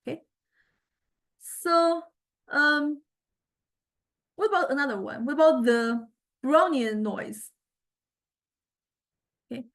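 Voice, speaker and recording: Female lecturer, slightly high voice, informal software demo, tentative delivery with pauses to find words. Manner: hesitant, thinking aloud, searching for words. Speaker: music technology lecturer.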